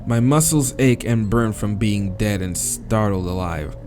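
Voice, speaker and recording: A man's voice: on the low side, measured pace, over music. A man reading visual novel narration aloud as a let's player, with casual, crude asides.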